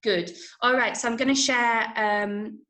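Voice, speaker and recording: An English woman leads a drama writing workshop in a fairly high voice. She explains step by step and puts questions to her listeners to check they follow. The room rings clearly around her words. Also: steady tone, unhurried, pushed and bright. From Manchester, England. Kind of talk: teaching a script-writing workshop. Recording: roomy, echoing room sound.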